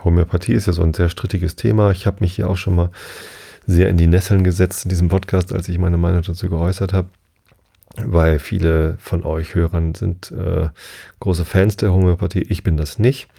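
A man in his forties talks through a relaxed solo podcast episode, wandering from point to point with plenty of fillers and restarts. His voice sits low and dark. It has a husky, gravelly edge. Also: fairly quick. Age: 40s. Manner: chatty, rambling, many fillers and self-corrections. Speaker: podcast host. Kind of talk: casual solo podcast monologue.

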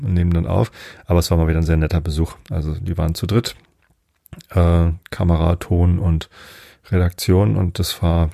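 A man from Germany, hosting a bedtime podcast in a casual, unhurried, meandering monologue, thinking aloud. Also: low-pitched, gravelly, warm tone, no room sound, studio quality. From northern Germany. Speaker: podcast host.

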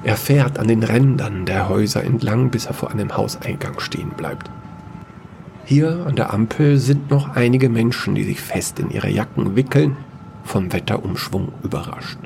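A man's voice: lowish voice, moderate pace, creaky, background noise. A man reading scripted narration for a science-fiction fairy tale audio story.

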